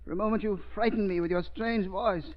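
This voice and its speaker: An actor playing a bitter, frail old scientist in a 1930s radio drama, stammering and panicky. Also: male voice, high-pitched, steady tone, a little fast, natural voice. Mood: bitter defiance. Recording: dull old radio recording.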